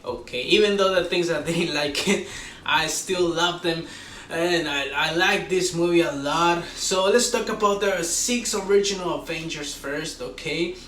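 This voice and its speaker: A man hosting a casual, unscripted movie review, talking like an excitable fan with drawn-out exclamations. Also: fairly high pitch, far from the mic. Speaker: host of a movie review show. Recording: roomy echo, off-mic, faint background noise.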